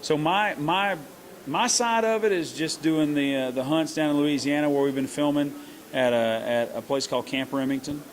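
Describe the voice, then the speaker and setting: Earnest middle-aged man, fairly high voice. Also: fairly even intonation. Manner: earnest, halting with many restarts. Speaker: country singer and hunting show host. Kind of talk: casual interview answers.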